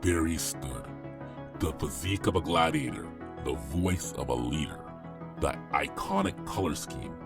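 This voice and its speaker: Deep, expressive male narrator over music. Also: unhurried. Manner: solemn, storytelling. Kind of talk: scripted dramatic narration. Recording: prominent music under voice.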